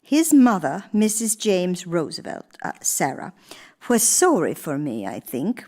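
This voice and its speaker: A woman's voice: lowish voice, wildly swooping pitch, darkish tone, full character voice. Woman playing an elderly, genteel stateswoman, expressively lilting. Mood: nostalgic. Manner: measured, genteel, deliberate storytelling. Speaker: stage actress. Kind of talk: theatrical one-woman monologue. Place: live radio theater performance in a church.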